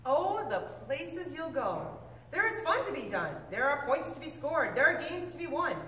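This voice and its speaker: A woman reading a picture book aloud with lively, expressive delivery, now and then stumbling and correcting herself. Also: moderate pace, slight background noise. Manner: expressive storybook reading with big pitch swings. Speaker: reader. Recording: echoey room, mic at a distance.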